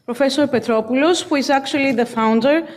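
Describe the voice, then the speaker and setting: A woman's voice: high voice, a little fast. Woman speaker over faint noise. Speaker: panel speaker.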